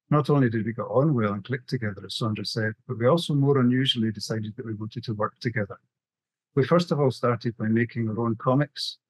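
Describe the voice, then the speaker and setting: A man's voice: medium pitch, no room sound, rough recording. A middle-aged man with a Scottish accent, presenting a prepared webinar talk over a video call. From west coast of Scotland. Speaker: graphic novelist, webinar presenter.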